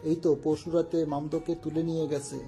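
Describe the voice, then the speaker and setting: Man acting a pickpocket sidekick, extremely dark muted timbre, monotone, wary, sceptical, colloquial, audio drama. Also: fairly high pitch, fairly quick, soft background music, natural voice. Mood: wary, gossiping.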